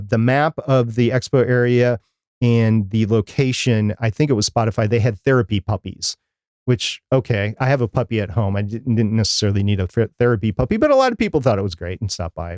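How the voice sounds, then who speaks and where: A man's voice: medium pitch, darkish tone, dry recording, studio quality. A middle-aged man, casually recapping as a podcast co-host in a rambling, plain-spoken way. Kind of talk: casual conference recap on a live chat show.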